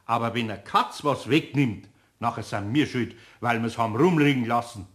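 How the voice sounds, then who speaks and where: An actor playing a good-natured, gruffly patient older craftsman with a Bavarian accent in a children's radio play, homely and mildly scolding. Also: mid-range voice, normal speed, natural voice. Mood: exasperated.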